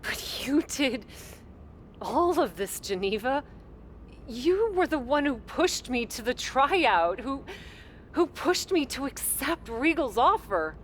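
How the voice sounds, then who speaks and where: A woman's voice: sits high, very animated, slow, a little hiss or hum, heavily acted. An actress playing a headstrong young female pro wrestler in a video game cutscene, wry and sincere. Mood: sincere, tender.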